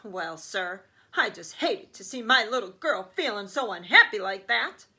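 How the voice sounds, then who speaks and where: A woman voicing a boastful, indulgent rich father in a children's storybook, talking in loud, emphatic, folksy brags. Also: mid-range voice, animated, unhurried, full character voice. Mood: aggrieved, determined.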